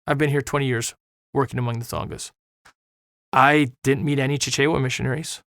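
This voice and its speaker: A man's voice: medium pitch, fairly even intonation, a little fast, vocal fry, a bit bright, dry recording. Passionate preacherly middle-aged man. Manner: passionate, preacherly, repeats words when pushing a point. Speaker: missionary guest. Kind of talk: earnest podcast conversation.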